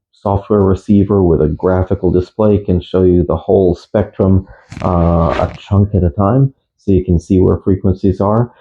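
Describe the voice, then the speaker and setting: A man giving a casual, unscripted gear review as a radio hobbyist, speaking in a halting, matter-of-fact way. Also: low voice, narrow, tinny audio. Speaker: amateur radio hobbyist presenter.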